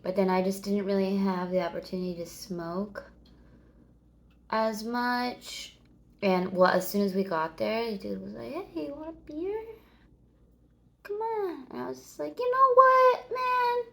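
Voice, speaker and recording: A woman's voice: medium pitch, unhurried, vocal fry, a bit bright. A woman in her thirties chatting casually to the camera, rambling and meandering, swearing now and then. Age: 30s. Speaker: vlogger.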